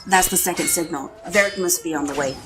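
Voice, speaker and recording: A woman's voice: fairly low pitch, fast, close to an everyday voice. A woman playing an informal, joking young male fighter in an audio drama. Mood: earnest. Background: loud music.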